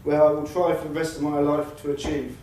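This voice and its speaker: A man reading a victim statement in a measured, defiant, bitterly sarcastic manner. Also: fairly high pitch, little pitch movement, a little mellow. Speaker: attack survivor giving a victim impact statement. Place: courtroom. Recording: very echoey courtroom from a distance, faint noise.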